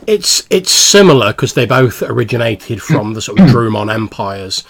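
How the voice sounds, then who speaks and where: A man's voice: mid-range voice, very animated, moderate pace, creaky. A man running a tabletop game as game master, narrating and explaining in an informal, casual way.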